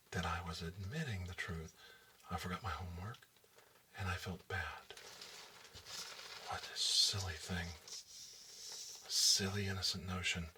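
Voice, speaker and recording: A man performing an ASMR role-play as an eerie, softly menacing technician, in a breathy whisper with lingering, theatrical, repetitive phrasing. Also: distant mic, background noise. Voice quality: breathy whisper mixed with soft low speech. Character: eerie male memory removal technician, softly menacing, nostalgic. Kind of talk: ASMR role-play, intimate dramatic monologue.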